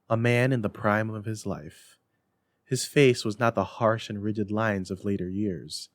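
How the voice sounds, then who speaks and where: A man reading scripted storybook narration in an expressive, measured way. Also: lowish voice, no echo. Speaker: narrator, dramatic reader.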